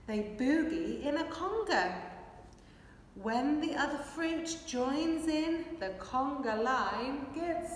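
A woman reads a children's picture book in a high voice, very slowly and with a rhythmic, expressive delivery. She is recorded at a distance in a very echoey hall. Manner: expressive, measured rhythmic delivery while signing. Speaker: children's storyteller. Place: large hard-walled hall with brick and plaster. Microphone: camera a few metres away. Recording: echoey hall, distant microphone, faint noise.

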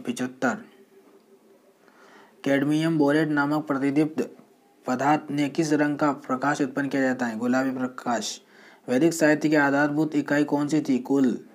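A man presenting a general knowledge quiz, reading each question and its answer in a steady voice with short restarts. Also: a little high.

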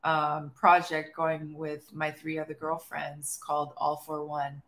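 A young woman, a guest musician, chatting casually and hesitantly about mental health, with many pauses and restarts. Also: low voice, monotone, reverberant. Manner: soft-spoken, hesitant, many fillers and restarts. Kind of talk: casual chat. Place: living room at home.

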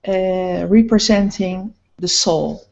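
A middle-aged woman painter speaking slowly and hesitantly about her paintings, with many pauses, on a video call. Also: medium pitch, vocal fry, darkish tone. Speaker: painter guest. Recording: muffled video call audio.

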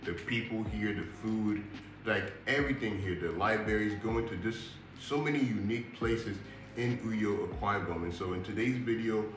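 A young man from Houston, Texas, speaking in a relaxed, earnest way straight to camera in a casual vlog, calling his viewers y'all. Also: moderate pace. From Houston, Texas, United States. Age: young adult. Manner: relaxed and earnest, addressing viewers as y'all, gets animated with rising pitch. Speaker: vlogger. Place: indoor room with a fan running, seated on a sofa. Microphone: built-in camera mic at a short distance. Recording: echoey room, faint fan hum.

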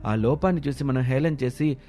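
A man's voice: mid-range voice, a little fast, warm tone, soft background music, lightly acted. Man playing an earnest young village lad. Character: young village son or friend, earnest, loyal. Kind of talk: dramatised moral story acting.

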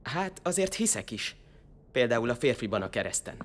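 A man's voice: mid-range voice, very animated, slight background noise, lightly acted. An actor playing an earnest, solitary, devout young man in an audio drama, sincere and a little hesitant.

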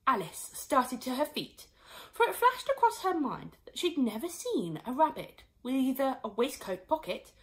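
A young woman tells a children's story. Her voice sits fairly high and moves with lively, expressive swings, using dramatic emphasis and hushed drops. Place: small indoor room.

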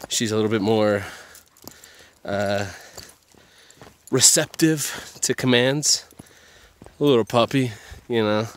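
A man narrating casually while hiking a pine forest trail with his dogs, chatty and relaxed, recorded outdoors on a handheld camera. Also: on the low side, slow pace, pauses often, smooth. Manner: laid-back, chatty, talks to his dogs. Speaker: hiking vlogger.

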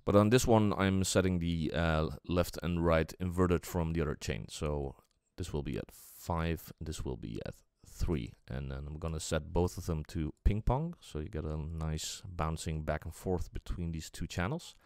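A man with an extremely low, smooth voice walks through a software tutorial in a casual way. He explains step by step, feeling out his sentences as he goes. The sound is dry and close to the mic. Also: moderate pace, hardly any pauses, no echo. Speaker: music production tutorial presenter.

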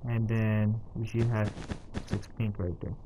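A man narrating a casual step-by-step game tutorial in a halting, instructional way, counting out items as he goes. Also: lowish voice, little pitch movement, noisy background. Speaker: tutorial host.

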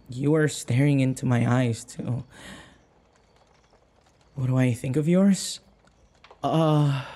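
Man acting a very breathy, timid, submissive young man. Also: mid-range voice, darkish tone. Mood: nervous, flustered. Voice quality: breathy, husky. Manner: halting, stammering, hesitant. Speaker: audio roleplay voice actor. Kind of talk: intimate boyfriend audio roleplay.

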